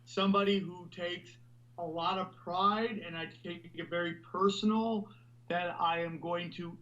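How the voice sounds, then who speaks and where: A man hosting a podcast, talking loudly and animatedly in a rambling, casual way. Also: high voice, highs cut off. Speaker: podcast host.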